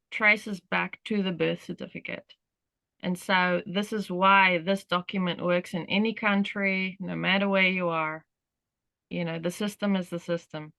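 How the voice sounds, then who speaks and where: A woman's voice: mid-range voice, gravelly, pushed and bright, no echo. Rambling Australian-accented woman host. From South Africa. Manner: rambling, many "you know" fillers. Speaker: workshop host. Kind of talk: casual talk on a group call.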